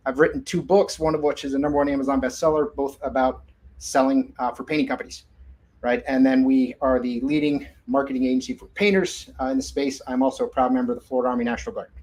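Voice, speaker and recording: A man's voice: medium pitch, fairly even intonation, fast, a little mellow, rough recording. A man with an American accent hosts a webinar, presenting in an informal, businesslike style. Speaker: webinar host and marketing agency owner.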